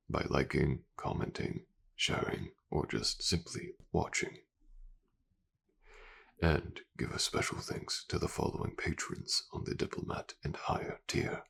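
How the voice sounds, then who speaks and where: A man giving a scripted outro of thanks and reading out credits in a soft, breathy voice. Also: a bass voice. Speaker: voice actor thanking supporters.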